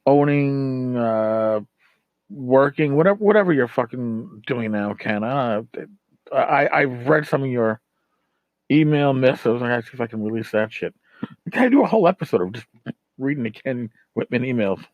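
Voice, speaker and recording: A man's voice: mid-range voice, lively intonation, normal speed, dry recording, highs cut off. A middle-aged man talks as a podcast host in a loose, rambling monologue. He is blunt and exasperated, swearing freely as he vents. The sound is dry, with very little room in it. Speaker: tabletop gaming podcast host. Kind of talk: casual ranting monologue.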